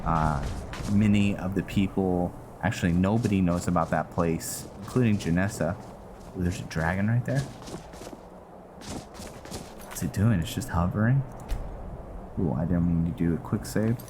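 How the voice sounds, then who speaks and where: A man giving casual, rambling gameplay commentary, halting often as he thinks aloud. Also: sits low, noisy background. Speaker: gaming video host. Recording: music behind the voice. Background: game music.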